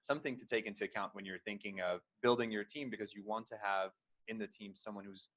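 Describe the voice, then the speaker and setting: A man's voice: fairly low pitch, steady tone, fast, a bit bright, dry recording. A man speaking as a panelist, explaining his advice in a conversational professional discussion. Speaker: marketing panelist.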